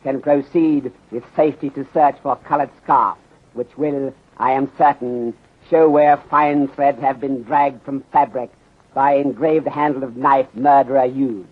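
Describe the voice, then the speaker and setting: An actor playing a shrewd, courteous Chinese detective inspector in a 1930s radio serial, speaking formally and deliberately in clipped phrases that drop small words. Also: male voice, mid-range voice, fairly even intonation, close to an everyday voice. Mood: calm, insistent. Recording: muffled, husky-sounding 1930s radio recording.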